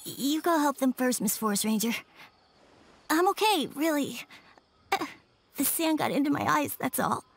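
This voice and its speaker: A woman's voice: high-pitched, lively intonation, unhurried, vocal fry, long pauses, heavily acted. A woman voicing a shy, polite little boy in a game, who speaks timidly and hesitantly. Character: shy polite little village boy, sad but brave. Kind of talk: game cutscene dialogue.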